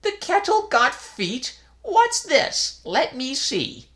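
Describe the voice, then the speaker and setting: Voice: mid-range voice, big pitch swings, a little slow, a bit bright, pitched above the performer's own voice. A reader voicing a fussy old male temple priest in a raised, exclaiming voice. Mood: startled.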